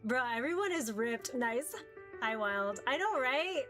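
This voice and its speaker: A woman livestreaming visual novels, chatting casually in a rambling, halting way. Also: high voice, animated, a bit bright. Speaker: streamer playing visual novels. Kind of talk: casual livestream chat, reading game text aloud. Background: background music.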